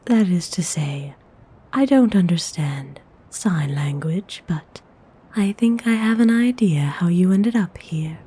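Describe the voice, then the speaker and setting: Woman voicing a slow, breathy, whispering vampire noblewoman. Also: fairly low pitch, animated, heavily acted. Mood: curious, soft. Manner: slow, hushed, tenderly formal. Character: gentle, courteous female vampire noble, tender and hungry. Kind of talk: ASMR roleplay, whispered.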